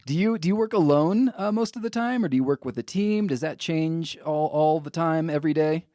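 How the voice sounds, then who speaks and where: A man's voice: a little high, fairly quick, mellow, dry recording, studio quality. A man hosting a podcast in casual chat, asking informal, playful questions and chiming in. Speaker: podcast host, interviewer.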